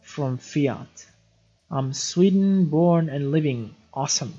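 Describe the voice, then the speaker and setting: A man's voice: fairly high pitch, slow, dark tone, dull audio, soft background music. A young man from Syria hosting a casual live stream, chatty, reading viewer comments aloud and often repeating words as he restarts sentences. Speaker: livestream gaming host.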